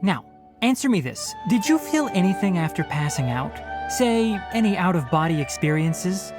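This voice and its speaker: Bookish young anime game ranger, precise n gently stern, lively intonation, music behind. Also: male voice, high voice. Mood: inquisitive, brisk. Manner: precise, explanatory, measured. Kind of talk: anime video game voice acting.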